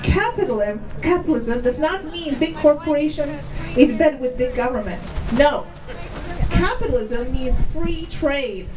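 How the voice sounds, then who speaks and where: A woman with a Czech accent gives an emphatic, deliberate prepared speech from an outdoor rally stage, heard over a PA system. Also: high-pitched, animated, dull audio. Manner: emphatic, deliberate, reading from notes. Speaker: rally speaker. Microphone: PA system heard from a distant camera. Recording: distant outdoor PA with crowd noise.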